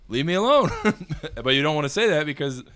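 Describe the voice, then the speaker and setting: A man in his thirties with a big voice, a filmmaker talking through his thoughts in a casual conversation, halting and restarting as he goes. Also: on the high side, animated, fairly bright tone. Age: 30s. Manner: rambling, halting, with frequent restarts. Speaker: filmmaker guest.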